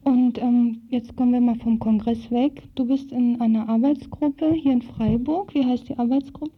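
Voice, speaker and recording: A woman with a fairly high voice conducts an informal radio interview. Her sound is narrow and tinny, much like a telephone line. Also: steady tone, moderate pace, slight background noise. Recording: thin, phone-like old radio recording.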